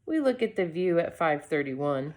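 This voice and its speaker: A woman reading a storybook aloud to children, expressively, with deliberate dramatic pauses and short asides to her viewers. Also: deep voice, big pitch swings, darkish tone.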